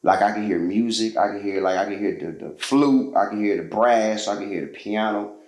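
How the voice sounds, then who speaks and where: A man's voice: fairly low pitch, moderate pace. Man, interview guest, slangy, rambling, echoey room. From Georgia, US. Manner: relaxed storytelling, loose slangy talk with many fillers. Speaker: interview guest, musician and producer. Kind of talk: casual interview.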